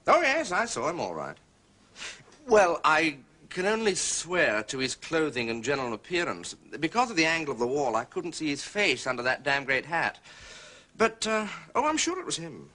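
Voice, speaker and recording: An actor playing a blunt, slightly uneasy gentleman witness, clipped and defensive, in a period detective drama. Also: a little high, big pitch swings, normal speed, full character voice. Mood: recounting.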